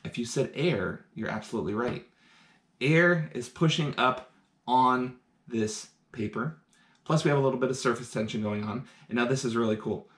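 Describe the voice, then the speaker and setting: A man's voice: mid-range voice, normal speed. Chatty man explaining science experiments at home. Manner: enthusiastic, chatty, explains step by step while doing experiments. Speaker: science teacher. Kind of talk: live-streamed science demonstration. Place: home, indoors.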